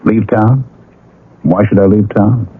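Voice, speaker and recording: A man's voice: lowish voice, fairly even intonation, slow, husky, exaggerated voice. An actor playing a self-deprecating middle-aged man in love, with dry, pointed delivery in a 1970s radio drama. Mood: uneasy, resigned. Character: wry, self-deprecating older suitor in love. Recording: muffled, noisy vintage radio broadcast.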